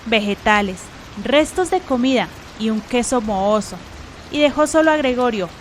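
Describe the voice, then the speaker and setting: A woman's voice: a little high, slight background noise. A woman narrator telling a scripted story for a radio drama.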